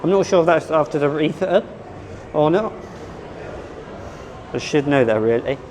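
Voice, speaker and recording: A man vlogging at a theme park, rambling and unsure of himself, hoarse as he is losing his voice. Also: a little high, animated, normal speed, a little mellow, a little hiss or hum. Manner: rambling, self-correcting, unsure. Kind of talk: casual vlog commentary.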